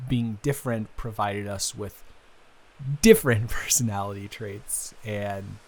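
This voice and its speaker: A man playing a flustered, smitten young man in an improvised romantic audio roleplay, speaking haltingly and conversationally. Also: on the low side. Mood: shy, sincere. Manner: halting, flustered, with sighs, gasps and laughs.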